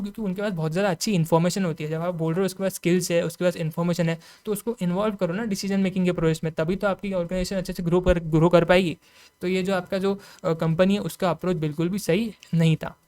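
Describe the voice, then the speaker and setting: An Indian-accented man teaches in a quick, conversational way, speaking straight to his students and checking in as he goes. His voice is clean and smooth, with a fairly flat melody. He sounds like an informal online lecturer working through material. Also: high-pitched. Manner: conversational, repeatedly adds check-in tags and addresses students directly. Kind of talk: informal explanatory lecture, mixing Hindi and English.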